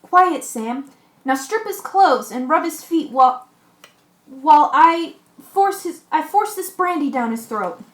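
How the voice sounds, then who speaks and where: A woman's voice: sits high, expressive, a little slow. A woman acting a practical, commanding shipwrecked sailor in an amateur radio play, reading earnestly with small stumbles. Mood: urgent, firm. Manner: earnest, stumbles over scripted lines. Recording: echoey room recording.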